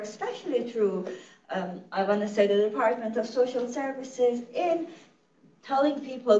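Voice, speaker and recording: A woman's voice: on the high side, darkish tone, slight background noise. Woman, Farsi speaker, earnest conversational lecturer, heard from afar in an echoey room, muffled audio. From Iran. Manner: earnest, explanatory, frequent self-corrections. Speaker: literacy program director giving a presentation. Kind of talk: conversational lecture to medical audience. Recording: echoey, distant, dull-sounding room recording.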